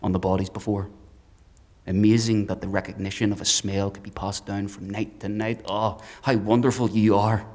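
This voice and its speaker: An actor playing a menacing, fanatical hunter in a dark fantasy audio drama, speaking in a deliberate, taunting, theatrical way. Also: male voice, lowish voice, flat delivery, lightly acted. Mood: gloating, taunting. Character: menacing male fanatical hunter, coldly articulate, mocking.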